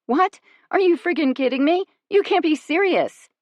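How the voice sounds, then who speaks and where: A frantic, hot-tempered young woman, as the narrator plays her, pouring out words breathlessly down a payphone line. Her voice turns shrill and raised, with a dry, close sound. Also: lively intonation, moderate pace, dry recording, muffled recording. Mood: furious.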